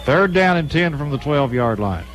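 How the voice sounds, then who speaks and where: A man's voice: fairly high pitch, big pitch swings, normal speed, faint music behind. A man announcing live football play-by-play on the radio in clipped, running phrases. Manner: clipped, present-tense running description. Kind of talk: live radio sports commentary.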